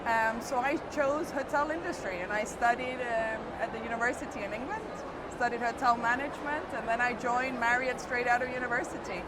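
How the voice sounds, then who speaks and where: A middle-aged woman from Spain, an executive telling her career story in a relaxed interview, speaking fluently with some fillers. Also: on the high side, brisk. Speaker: hotel company executive. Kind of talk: conversational interview. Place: busy trade show floor. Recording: loud background noise at a trade show.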